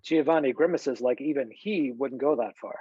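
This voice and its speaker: A narrator reads screenplay stage directions in scripted fashion at a middling pitch. The sound is dry and a bit lo-fi, like a video call. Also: normal speed, warm tone, husky, no echo. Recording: dull, lo-fi video call audio.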